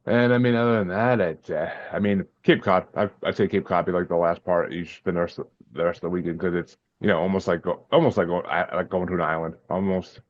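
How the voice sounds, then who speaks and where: Low-voiced guy from massachusetts, talks quick, stumbles and repeats himself, dull dry audio. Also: steady tone, no room sound. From Massachusetts, USA. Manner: laid-back, hesitant, repeats words. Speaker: podcast guest, paranormal podcaster. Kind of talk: casual interview chat.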